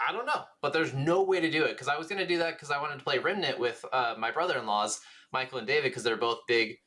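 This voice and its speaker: Male co-host, mid-pitched and bright, informal gaming chat, conversational delivery. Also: quick pace. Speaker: podcast co-host. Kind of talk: casual gaming podcast chat.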